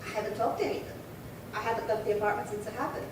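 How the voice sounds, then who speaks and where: A woman's voice: medium pitch, racing, close to an everyday voice. A woman acting a prim, chatty, indignant old lady in her late seventies in a 1950s-style radio crime drama, fussy and rambling. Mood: peevish. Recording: very echoey, distant, noisy room.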